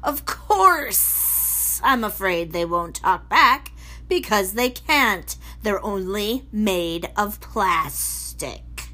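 A woman reading aloud and voicing a sinister, mocking giant man who drawls his words slowly. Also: high-pitched, expressive, bright, forceful tone, exaggerated voice. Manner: slow, drawn-out, taunting.

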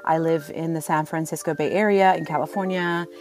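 A woman from Sudan moderates a live audio chat in a conversational style. Her voice sits a little low, and she speaks informally and affirmingly, with faint music behind her. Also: fairly even intonation, brisk, a little mellow. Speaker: panel moderator, journalist and podcast host. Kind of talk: conversational live panel hosting.

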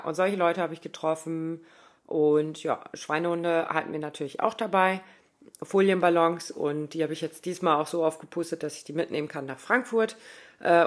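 A woman in her thirties talking casually in a spoken diary, rambling with lots of fillers and asides. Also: low voice. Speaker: running podcaster.

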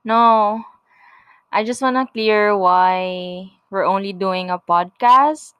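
A woman's voice: medium pitch, slow, clear, resonant tone, dry recording, lo-fi sound. A young woman from the Philippines with a Filipino accent, co-hosting a casual couple podcast, giggly and repeating words as she starts a thought. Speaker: podcast co-host.

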